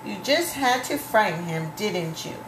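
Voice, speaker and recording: A woman's voice: medium pitch, animated, unhurried, close to an everyday voice. A woman voicing a protective, mildly grumbling male dog from a children's storybook. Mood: reproachful. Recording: roomy, echoey home recording with clear noise.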